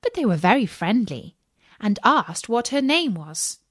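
A woman narrating a scripted children's audiobook as an expressive, measured storyteller. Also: fairly high pitch, breathy edge, no echo, clean studio sound.

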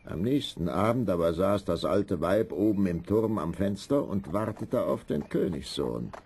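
A man's voice: low-pitched, big pitch swings, unhurried, vocal fry, darkish tone, slightly rough. A man narrating a scripted fairy tale as a dramatic storyteller.

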